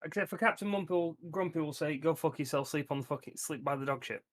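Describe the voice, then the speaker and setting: A man's voice: a little high, quick pace, no room sound, studio quality. A man playing a tabletop roleplaying game, chatting casually in a blunt, sweary way. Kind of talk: casual group banter.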